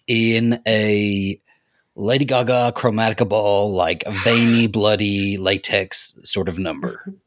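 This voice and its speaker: A man with a fairly low voice in a dry, echo-free recording chats as a podcast panelist. He is casual and playful, talking pop culture among friends. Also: steady tone, takes it slowly, fairly bright tone.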